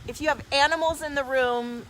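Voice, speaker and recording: A woman's voice: high-pitched, fairly even intonation, brisk, a bit bright, poor audio. A woman speaking effusively and emphatically in casual new-age coaching style on a livestream, as a healer and host. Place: outdoors in the desert. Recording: clear background noise, outdoors.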